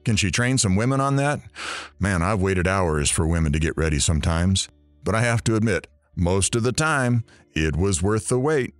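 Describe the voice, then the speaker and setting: A man's voice: low voice, animated, moderate pace. A man playing a sardonic, worldly wisecracker commenting in an audio drama. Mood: wry amused. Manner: sardonic, joking.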